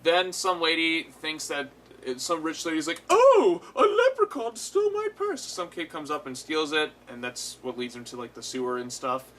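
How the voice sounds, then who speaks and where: Bright, higher-voiced man reviewing casually. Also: normal speed. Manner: animated retelling with frequent swearing. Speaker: reviewer and host. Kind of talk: unscripted casual episode review. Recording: clear background noise.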